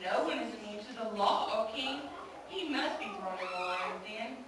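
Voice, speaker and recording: A woman's voice: mid-range voice, animated, moderate pace, close to an everyday voice. A woman voicing a scheming royal advisor in a church puppet show, gushing and fawning with a sly edge. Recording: echoey hall from far off, noisy.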